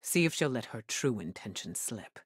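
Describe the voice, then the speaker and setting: A woman playing a troubled scholarly commander in a video game, speaking in a grave, measured, introspective manner. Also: rumbling low, sing-song, background noise. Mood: burdened, resolute. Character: troubled, guilt-ridden senior commander and scholar giving orders. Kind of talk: dramatic video game dialogue.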